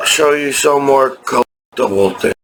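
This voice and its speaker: A man in his thirties talking in a rambling personal vlog, halting and repetitive with many restarts. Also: mid-range voice, fairly bright tone, poor audio. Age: 30s. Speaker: vlogger.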